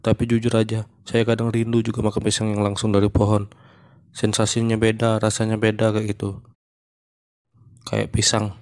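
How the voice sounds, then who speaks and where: A man voicing a male monkey stand-up comedian in a cartoon who is running for mayor, telling jokes in a deadpan, even way. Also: fairly low pitch, normal speed, pauses often, close to an everyday voice.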